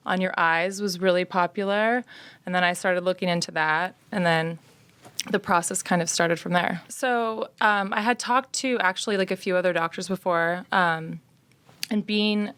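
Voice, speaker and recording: Smooth-voiced woman in her thirties. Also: fairly low pitch, fairly bright tone. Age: 30s. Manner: earnest, reflective storytelling. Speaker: podcast guest, patient. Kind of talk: casual interview.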